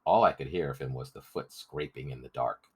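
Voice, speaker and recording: A man's voice: rumbling low, fairly quick, husky. A man narrating a story as a scripted audiobook reading.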